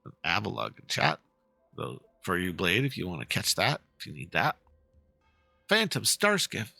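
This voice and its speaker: Streaming man with a creaky voice. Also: sits low, big pitch swings, normal speed, pushed and bright, no room sound, faint music behind. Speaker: game streamer. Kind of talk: casual livestream chat and dramatic read-aloud of game dialogue.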